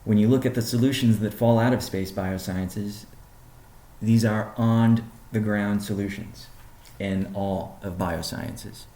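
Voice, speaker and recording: A man presenting as a company chief on a business conference call, speaking in measured, deliberate phrases. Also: fairly low pitch, fairly even intonation, warm tone. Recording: roomy, echoing sound.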